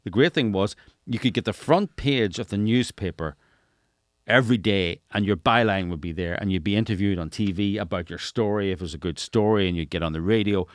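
Middle-aged man, slightly low pitch, dry recording, emphatic conversational interviewer, repeats phrases. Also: a little fast, no echo. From Northern Ireland. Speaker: interviewer, podcast host. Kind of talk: casual conversational interview talk.

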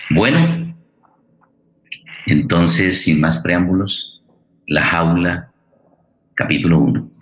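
A man hosting a radio programme, introducing guest and programme in a wordy, courteous, halting way. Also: low-pitched, poor audio. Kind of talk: unscripted, welcoming introduction of a guest and play.

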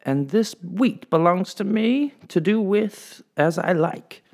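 Shrill haughty rich lady, as a man puts her on. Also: expressive, slow pace, darkish tone, full character voice. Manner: shrieking, impatient.

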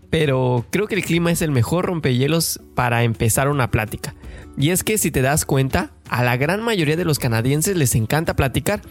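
A man co-hosting a podcast, chatting casually in a friendly, explanatory way. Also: fairly high pitch. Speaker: podcast co-host.

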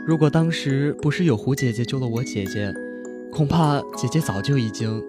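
A woman playing an eager, innocent and polite young boy in a fantasy audio drama, earnest and lively. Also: rumbling low, moderate pace, music in the background.

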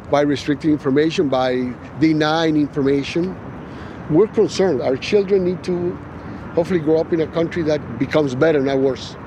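A man speaks earnestly and emphatically, repeating words for stress. His voice sits a little high. The outdoor interview carries clear traffic noise behind him. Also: mellow, poor audio. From Cuba. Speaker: visiting father. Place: outdoors near a memorial, traffic.

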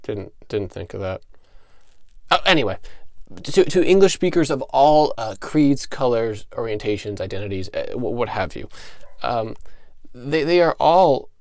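A man with a Midwestern American accent hosting a solo comedy podcast, speaking in a halting, stammering, mock-earnest and self-important deadpan. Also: mid-range voice, no echo, clean studio sound. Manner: halting, stammering delivery with repeated words. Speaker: podcast host.

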